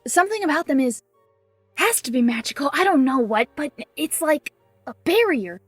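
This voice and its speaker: A woman voicing a shaken, weary young female unicorn pony in a story reading, speaking in a halting, strained way. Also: high-pitched, sing-song, recorded from a distance, faint music behind, voice pushed up. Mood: strained, baffled. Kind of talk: fan fiction character reading.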